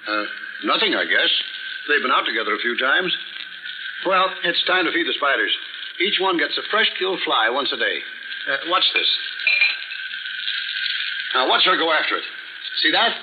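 Easygoing assistant, casual, expressive intonation, 1940s radio acting, phone-thin old recording. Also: male voice, fairly high pitch, moderate pace, long pauses, narrow, tinny audio, noisy background. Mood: offhand. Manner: casual, offhand. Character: easygoing male assistant at a spider business.

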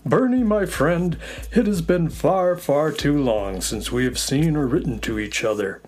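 A man voicing a friendly, gentle space horse in a children's audio story, courteous and expressive in his delivery. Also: on the high side, unhurried, soft background music. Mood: warm, eager.

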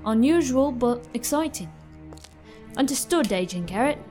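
A woman's voice: a little high, animated, moderate pace, darkish tone, soft background music, lightly acted. A woman playing a no-nonsense police officer on guard duty in an audio drama. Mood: polite, impressed. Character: brisk, no-nonsense policewoman guarding a crime scene.

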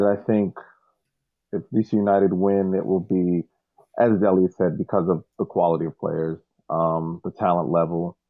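A man with a very deep, gravelly voice talks soccer in an easygoing podcast chat. He speaks in measured phrases, pausing on ums. The sound is thin and tinny, like a phone line. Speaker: podcast guest.

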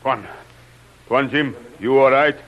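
An actor playing a loyal, watchful manservant in a radio adventure, speaking clipped, deferential broken English. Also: male voice, medium pitch, slow, slight background noise, close to an everyday voice. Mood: urgent, concerned. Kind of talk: 1930s-40s radio adventure acting. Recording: muffled old radio recording.

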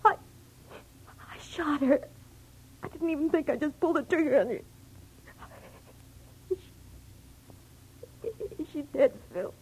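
A woman playing a fragile, desperate femme fatale in 1940s radio melodrama, halting and emotional. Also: high-pitched, darkish tone, low-quality recording. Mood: sobbing, hysterical. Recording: vintage radio drama.

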